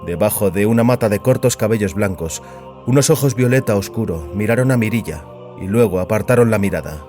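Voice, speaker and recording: A man's voice: lowish voice, fairly quick, darkish tone. A man giving a scripted narration of a science fiction audiobook. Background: music.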